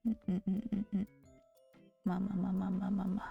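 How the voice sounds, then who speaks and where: A woman streamer chatting casually in a soft, gentle, often half-whispered voice, with playful teasing and giggles, speaking close to the mic. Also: mid-range voice, level tone, normal speed, gravelly, narrow, tinny audio, soft background music. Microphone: close to a regular mic.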